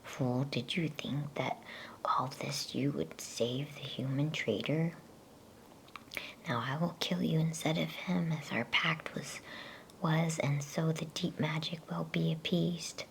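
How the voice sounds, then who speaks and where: Woman whispering as a cruel, slow storybook witch. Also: low-pitched, steady tone, exaggerated voice, rough recording. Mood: cold gloating. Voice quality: breathy whisper. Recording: faint background noise.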